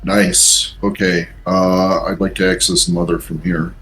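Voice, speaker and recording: Deep-voiced man playing a tabletop game. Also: level tone, a little slow, a bit bright. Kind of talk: casual game-table talk. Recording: faint background noise.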